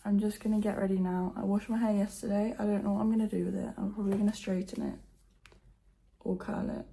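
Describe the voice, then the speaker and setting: A teenage girl vlogging at home, her voice croaky from just waking up, talking softly and half-whispering. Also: mid-range voice, flat delivery, quick pace, a little hiss or hum. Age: teens. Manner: soft, half-whispered, nervous chatter. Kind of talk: casual to-camera vlog. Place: at home indoors.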